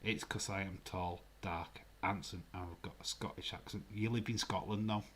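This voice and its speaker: A man's voice: low-pitched, steady tone, moderate pace, a bit bright, slight background noise. A man with a Yorkshire accent hosting a live stream, chatting casually and teasing his chat, laughing often and sometimes dropping to a near whisper. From Yorkshire, England.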